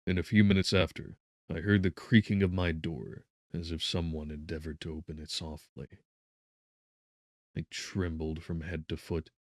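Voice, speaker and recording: A man narrating a gothic horror audiobook in a measured, grave, dramatic manner. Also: low voice, level tone, no echo, studio quality.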